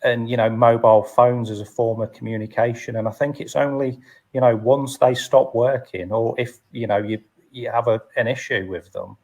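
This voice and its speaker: A man's voice: mid-range voice, fairly even intonation, moderate pace, darkish tone. A man hosting a casual chat in an agreeable, hesitant way. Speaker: host.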